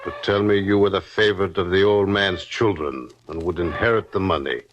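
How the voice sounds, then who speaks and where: An actor playing a greedy, menacing stonemason husband in an old radio drama, speaking in archaic phrases. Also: male voice, low voice, fairly quick, no room sound, lightly acted. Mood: accusing. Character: greedy brooding stonemason husband, 18th century. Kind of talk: 1950s radio drama acting. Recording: dull old radio recording.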